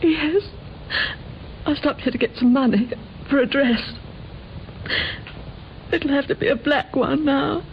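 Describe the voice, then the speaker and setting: An actress playing a subdued, hesitant widow in an old radio crime drama, answering in halting phrases. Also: female voice, sits high. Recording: dull, noisy old radio recording.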